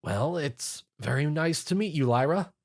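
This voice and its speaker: A man's voice: a little high, lively intonation, normal speed, voice pushed up. A man voicing a polite, wry and hesitant young man in a story reading.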